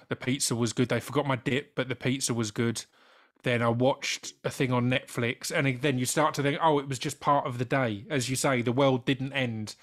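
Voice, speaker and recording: A man's voice: medium pitch, a bit bright. A British man hosting a panel discussion, chatty and casual, with a light stammer on some words. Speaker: host.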